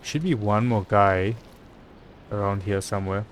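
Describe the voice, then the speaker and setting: A man with a fairly low voice streams a game, talking casually through his thoughts as he plays. His commentary is informal and half to himself. There is clear background noise with clicks behind him. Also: unhurried. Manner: casual, muttering thoughts aloud. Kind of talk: live gameplay commentary.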